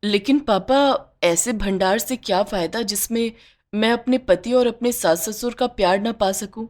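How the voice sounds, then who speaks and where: A woman's voice: medium pitch, moderate pace, a little mellow, voice pushed up, natural voice. A woman doing a meek tearful young bride. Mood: pleading. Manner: pleading, sorrowful. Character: poor young daughter-in-law, meek and tearful.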